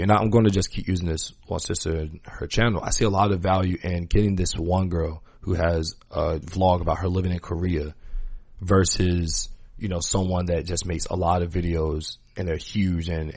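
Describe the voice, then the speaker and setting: Low-voiced man, earnest podcast host. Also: steady tone, normal speed, a little mellow, no room sound, studio quality. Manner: earnest, opinionated, slangy, repeats points for emphasis. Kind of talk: casual conversational podcast talk.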